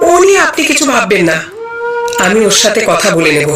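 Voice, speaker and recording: A woman's voice: mid-range voice, big pitch swings, a little fast, close to an everyday voice. A woman playing a doting, decisive queen in a cartoon, speaking formally and with assurance. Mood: pleased, decided. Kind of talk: cartoon story voice acting. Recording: echoey, distant from mic, music behind.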